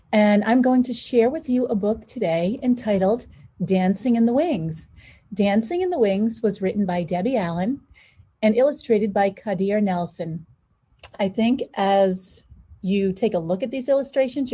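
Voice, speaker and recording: A woman, a school superintendent, reading a children's picture book aloud with expressive, stressed storytelling phrases. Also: medium pitch, slightly rough.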